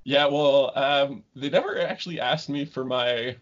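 A man in his thirties from Ontario, a guest scientist talking casually in an interview, halting with many ums and restarts. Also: mid-range voice. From Ontario, Canada. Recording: dull lo-fi remote call audio.

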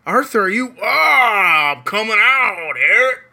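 A hoarse man voicing a rough Southern outlaw cowboy, drawling through a rambling diary confession. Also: high voice, big pitch swings, slow pace, sharp tone, pitched above the performer's own voice, heavily acted. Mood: menacing. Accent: put-on American Southern.

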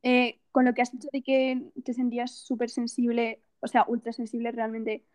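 A woman's voice: a little high, completely flat delivery, moderate pace, a little mellow. A young woman interviewing someone in a casual, conversational style.

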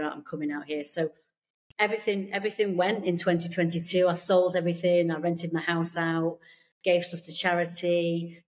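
A British woman from the UK, a therapist guest chatting on a podcast over a remote call, warm and reassuring with many fillers and restarts. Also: fairly low pitch. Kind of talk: casual interview chat.

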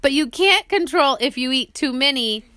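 High-voiced woman reading to children. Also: lively intonation, moderate pace, bright, forceful tone, dry recording. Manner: explains simply, as to young children. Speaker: author reading own audiobook. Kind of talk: audiobook reading with questions to children.

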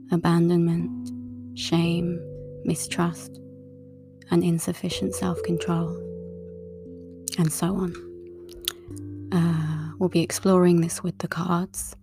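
A woman with a low, gravelly, relaxing voice, talking in an unhurried, half-whispered monologue. Her pitch barely moves. Guitar music plays clearly underneath. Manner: slow, calm, breathy half-whispered delivery. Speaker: tarot reader and writer. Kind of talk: soft-spoken monologue. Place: outdoors in a wooded area.

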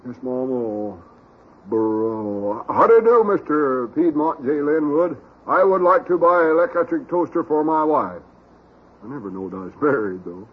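Simple obliging country fellow, Southern US accent, slow-witted, highish husky voice, old radio comedy, thin phone-like recording. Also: male voice, animated. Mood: halting, literal.

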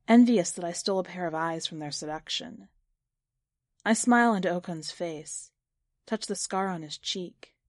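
A woman's voice: on the low side, a little mellow, dry recording, clean studio sound. A woman reading a first-person short story in literary audiobook style. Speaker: story narrator.

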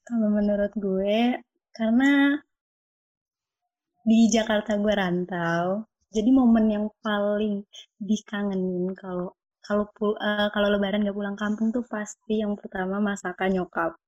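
A young woman explains her view at a steady pace. Her voice barely rises or falls, and the audio sounds dull and muffled. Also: mid-range voice, measured pace. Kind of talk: casual chat.

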